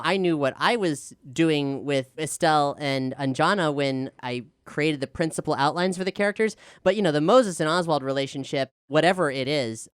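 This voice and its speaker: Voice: mid-range voice, smooth, no echo, studio quality. An enthusiastic, articulate podcast host talking conversationally through a question-and-answer show. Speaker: showrunner and host.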